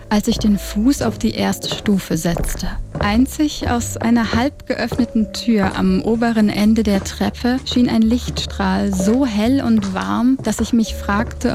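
A woman narrating an audio drama in the first person, with a soft, lyrical and introspective delivery. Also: medium pitch. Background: music.

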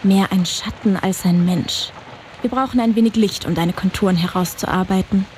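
An actress as an ageless, teasing hotel hostess. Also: female voice, mid-range voice, moderate pace, darkish tone, slight background noise, lightly acted. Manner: teasing, drily witty, welcoming. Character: mysterious ageless woman running an eerie hotel, teasing. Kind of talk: audio drama acting. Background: music.

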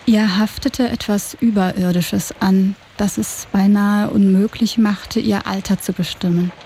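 Mellow woman narrating an audio drama. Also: medium pitch, fairly even intonation, measured pace, slight background noise. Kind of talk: scripted first-person narration of an audio drama. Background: music.

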